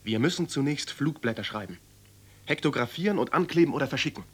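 An actor playing a persuasive, determined young resistance member in radio play dialogue. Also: male voice, mid-range voice, lively intonation, quick pace, a bit bright, husky. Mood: insistent.

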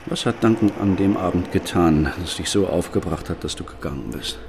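Calm police inspector, really low gravelly voice, asking questions, radio play, noisy ambience behind. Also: male voice, fairly quick. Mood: probing. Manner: restrained, questioning. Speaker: actor playing police inspector. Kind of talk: German radio drama dialogue.

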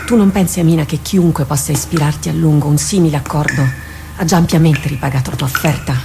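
A woman's voice: low voice, fast talker. An actress playing a devoted woman warrior captain in a radio drama, stubbornly loyal and solemn, speaking with earnest theatrical gravity. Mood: solemn, resolute. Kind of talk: Italian radio drama acting. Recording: clearly noisy radio drama recording.

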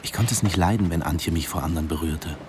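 An actor playing a reserved middle-aged diving instructor who narrates a radio drama in a sober, matter-of-fact way. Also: male voice, sits low, fast, noisy background, close to an everyday voice. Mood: sober, reflective. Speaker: narrator and character.

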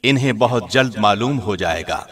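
A man reading a scripture translation in a formal, measured radio-host style. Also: lowish voice, lively intonation.

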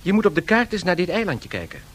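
An actor playing a shrewd, eager business associate in a radio drama, speaking excitably. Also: male voice, high-pitched, quick pace.

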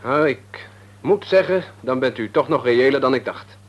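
Man acting insolent young drifter, Netherlands Dutch accent, middling pitch, cynical, evasive, offhand, radio play. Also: lively intonation, normal speed, highs cut off, lightly acted. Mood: grudging, offhand. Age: young adult. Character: cheeky, cynical, aimless young layabout, guarded. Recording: radio drama recording.

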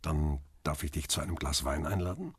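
An actor playing a worldly press photographer in a radio crime drama, guarded and dryly ironic, talking casually. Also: male voice, extremely low pitch, a little fast, slightly rough, dry recording, studio quality, full character voice. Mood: courteous, guarded.

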